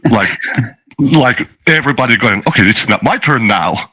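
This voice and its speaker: A man's voice: medium pitch, lively intonation, moderate pace, bright, forceful tone, husky, low-quality recording. A man telling game-master anecdotes casually on a podcast, circling back and repeating phrases as he goes.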